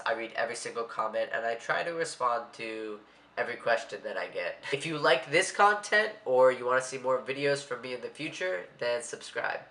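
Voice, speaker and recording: A young man with a stuffy, congested voice talks in a loose, rambling vlog manner, a little anxious underneath. His tone is bright and clear-cut at a middling pitch. The room around him rings with echo. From Maryland, USA. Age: young adult. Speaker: vlogger. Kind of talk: casual vlog monologue.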